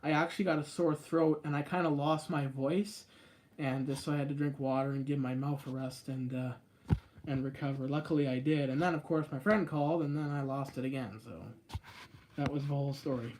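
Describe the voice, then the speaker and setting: A man hosting a casual live stream on a phone, chatting and answering viewer comments in a rambling way, with sudden jumps up in pitch on stressed words. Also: fairly even intonation, a little fast, a little mellow, slight background noise. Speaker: YouTube live-stream host.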